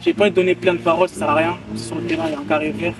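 A man's voice: a little high, flat delivery, racing, recorded from a distance, over music. A man in his twenties, a footballer giving casual interview answers outdoors and repeating phrases for emphasis. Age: 20s. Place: outdoors by a hotel pool.

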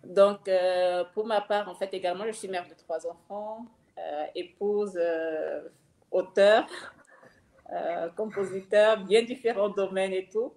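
A woman's voice: mid-range voice, fairly even intonation, a little slow, a little mellow, echoey room. A middle-aged woman, a pastor's wife, introducing herself on a video call, hesitant and full of fillers and restarts. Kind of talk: guest self-introduction. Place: home room, video call. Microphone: webcam mic on video call.

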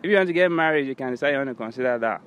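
Young Nigerian man, medium-pitched voice. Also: expressive, very fast, fairly bright tone, muffled recording. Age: young adult. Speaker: interviewee. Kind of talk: casual explanatory chat. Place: outdoors beside a campus road. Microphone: handheld device held by interviewer. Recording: faint outdoor background noise.

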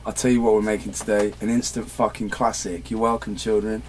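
A man's voice: fairly low pitch, flat delivery, a little fast. A man in his thirties from England, a guest musician bantering playfully and swearing as he rambles through stories. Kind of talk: casual studio banter. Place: small music studio indoors. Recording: noisy room recording.

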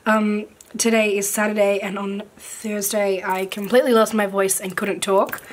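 A woman with a croaky voice that is still recovering, chatting casually and full of fillers as she sorts stickers at a desk for an overhead camera. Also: medium pitch, level tone, moderate pace. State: croaky, recovering from losing her voice. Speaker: planner vlogger. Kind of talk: casual haul chat while sorting stickers. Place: at a desk indoors, filming hands from above.

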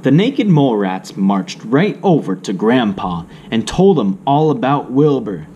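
A man narrates a children's storybook in a mellow voice with very lively intonation. His delivery is measured and theatrical. A faint room noise runs through the home recording. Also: mid-range voice, muffled recording. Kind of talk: expressive read-aloud narration for children.

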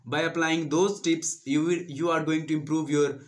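A man's voice: a little high, flat delivery, moderate pace. A young man in his twenties with an Indian accent and Hindi as his first language, earnestly giving English-learning tips straight to camera on a lapel mic. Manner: earnest, coaching, addresses viewers as friends. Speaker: English-learning channel host. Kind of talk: direct-to-camera advice talk. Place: small indoor room with green screen.

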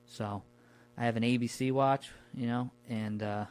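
A man talking casually and colloquially, telling stories in a relaxed conversational podcast style. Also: mid-range voice, a little slow. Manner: informal, colloquial. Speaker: podcast guest recounting his camping trips.

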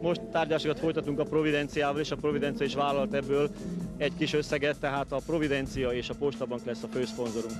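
Flat-voiced man speaking outdoors over music. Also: medium pitch. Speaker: sports official interviewee. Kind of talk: outdoor interview.

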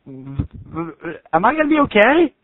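A man's voice: sits high, big pitch swings, slow pace, no echo, exaggerated voice. Man playing a naive, melodramatic patient, tinny sound. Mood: panicked. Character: naive, excitable male patient, easily amazed and confused. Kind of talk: amateur comedy skit acting. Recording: tinny, band-limited, dry sound.

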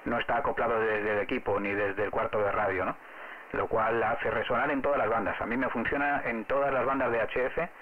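A man with a Spanish accent from Spain talking casually and explaining things as an amateur radio operator, spelling callsigns phonetically. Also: mid-range voice. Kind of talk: casual ham radio contact. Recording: narrow phone-like sound with faint radio noise.

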